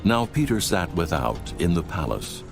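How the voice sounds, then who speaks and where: Very deep solemn man narrating scripture. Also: very animated, normal speed, gravelly. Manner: solemn, formal. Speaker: audiobook narrator. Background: background music.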